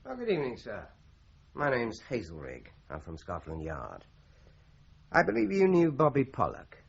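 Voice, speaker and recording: An actor playing a courteous, methodical Scotland Yard inspector in a British radio crime drama, speaking in a polite, measured, probing way. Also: male voice, mid-range voice, huge pitch swings, vocal fry. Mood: calm, purposeful. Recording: muffled radio drama recording, faint noise.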